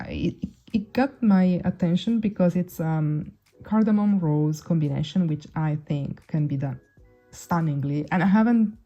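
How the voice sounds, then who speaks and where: A woman's voice: lowish voice, mellow. A young woman whose first language is Italian, chatting casually to camera as a perfume reviewer, with many restarts and fillers. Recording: dull lo-fi sound.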